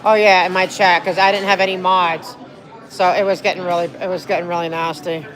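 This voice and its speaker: A woman's voice: fairly low pitch, level tone, fairly quick, a bit bright, low-quality recording. A young woman from New Jersey chatting casually on a livestream, rambling and reading viewer comments aloud in a restaurant. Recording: crowd chatter in a busy public place.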